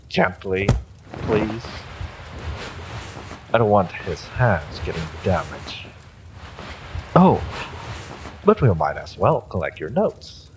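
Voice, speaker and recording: Man acting as deep-voiced, taunting evil sorcerer. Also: a little hiss or hum, heavily acted. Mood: commanding. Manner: taunting, theatrical, drawn-out delivery with sinister chuckles. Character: evil male sorcerer warlord, mocking, menacing, smugly courteous. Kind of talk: fantasy audio roleplay acting.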